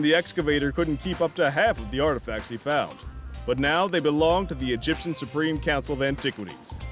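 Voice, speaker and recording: A man playing a friendly, earnest archaeology professor who explains things kindly in an audio drama. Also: fairly high pitch.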